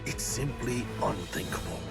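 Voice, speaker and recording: An actor voicing an earnest, loyal warrior ally who speaks deliberately in a video game cutscene. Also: male voice, on the low side, gravelly, loud music behind the voice. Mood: grave.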